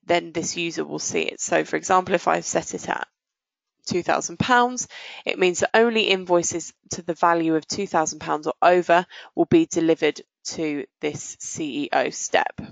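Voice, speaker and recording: A woman presenting a software demo in a conversational, explanatory way, pausing and stretching words as she goes, on dull, muffled audio. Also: on the low side, creaky, dry recording.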